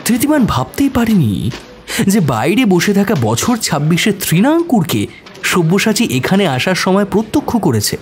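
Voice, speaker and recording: A man's voice: high-pitched, very animated, moderate pace, a little hiss or hum. A man narrating a scripted detective story in a dramatic audio-drama style.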